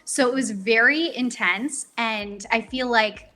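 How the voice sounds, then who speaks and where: Bright, bubbly young woman chatting. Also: fairly high pitch, slow pace. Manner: chatty, many ums, bubbly. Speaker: voice actor guest. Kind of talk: casual interview chat.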